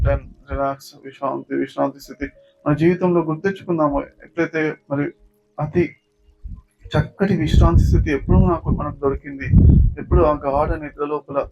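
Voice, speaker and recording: Telugu guy doing guided meditation, soothing and repetitive, muffled lo-fi audio, music playing behind him. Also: fairly high pitch. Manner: slow repetitive soothing instruction. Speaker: meditation teacher. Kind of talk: guided yoga nidra meditation. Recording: dull lo-fi sound with music. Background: background music.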